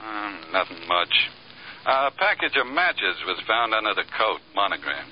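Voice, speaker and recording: A man's voice: fairly low pitch, big pitch swings, normal speed. An actor playing a dry, weary male police lieutenant in an old radio detective drama, laconic and matter-of-fact. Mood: dry, businesslike. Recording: dull, faintly noisy old radio recording.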